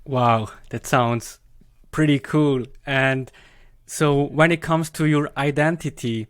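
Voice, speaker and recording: A man hosts a podcast interview and asks his questions slowly and carefully. His voice sits at an average pitch. The recording is dry, with no room echo. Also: steady tone, a bit bright. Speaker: podcast host.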